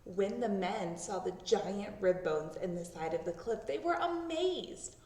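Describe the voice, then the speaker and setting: A woman narrates a children's storybook aloud. Her delivery is expressive and very animated in pitch. The room is clearly echoey and the microphone sits a little way off. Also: measured pace. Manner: expressive, acting out sound words. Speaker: storybook reader. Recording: roomy echo, distant microphone.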